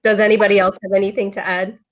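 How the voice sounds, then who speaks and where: Woman moderator, rapid delivery, casual questions, ordinary pitch over a video call. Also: level tone, a little hiss or hum. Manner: brisk, conversational questions. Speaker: panel moderator. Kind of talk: moderating a panel.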